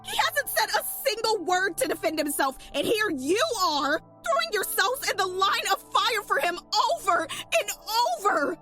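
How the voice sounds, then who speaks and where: A woman's voice: extremely high pitch, sing-song, normal speed, hardly any pauses, over music. A woman playing a stubborn, easily hurt young woman in an audio drama, with emphatic, accusing delivery. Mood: furious accusing. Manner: emphatic, outraged outbursts.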